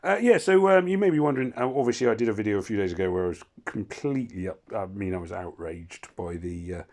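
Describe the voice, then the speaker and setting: A hoarse man casually commentating his mobile game, rambling and cutting himself off with wry asides. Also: lowish voice, fast talker, a little mellow. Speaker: gaming channel host.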